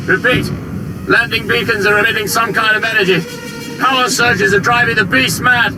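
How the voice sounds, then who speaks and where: A man's voice: high voice, steady tone, normal speed. A man playing a desperate soldier, frantic and terse, sending an emergency holo-message in a game. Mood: panicked. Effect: holo-message transmission. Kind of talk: video game cutscene dialogue. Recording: noisy emergency transmission.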